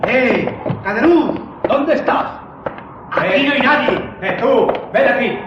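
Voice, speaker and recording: A man's voice: very high-pitched, expressive, slow, exaggerated voice. An actor playing a commanding, threatening policeman who barks out orders in a radio drama. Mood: urgent. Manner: barking, commanding calls. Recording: echoey, distant, muffled, noisy.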